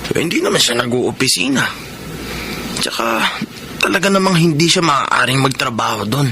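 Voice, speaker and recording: An actor playing a restless young brother in a Filipino radio drama, talking in a colloquial, musing way. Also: male voice, medium pitch, expressive, moderate pace, background noise, natural voice. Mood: puzzled musing. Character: restless young brother, a gambling tricycle driver. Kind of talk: Tagalog radio drama acting.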